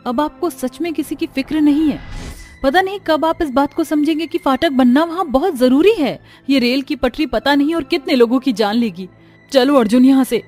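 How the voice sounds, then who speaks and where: Woman voicing a high-pitched indignant village woman. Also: normal speed, darkish tone, soft background music, natural voice. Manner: reproachful.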